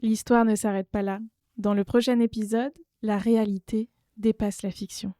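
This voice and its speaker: A woman's voice: medium pitch, little pitch movement, normal speed, no echo, clean studio sound. A woman reading scripted end credits as an announcer. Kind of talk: scripted narration. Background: soft music.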